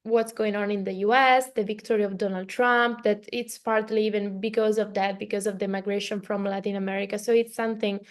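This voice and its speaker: A woman's voice: medium pitch, fairly even intonation, a little fast, hardly any pauses. A woman from Italy, a native Italian speaker with an Italian accent, hosting a casual, reflective podcast conversation. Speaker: podcast host.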